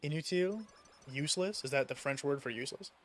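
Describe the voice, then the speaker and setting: A man's voice: on the high side, expressive, quick pace, soft background music. A young man from the United States chatting casually while gaming, rambling with lots of fillers and casual swearing. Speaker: streamer.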